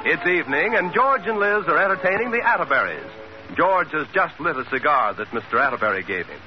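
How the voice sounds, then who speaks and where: Old radio announcer guy, highish lively voice, loud music behind, muffled sound. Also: brisk. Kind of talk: 1950s radio announcing, scripted.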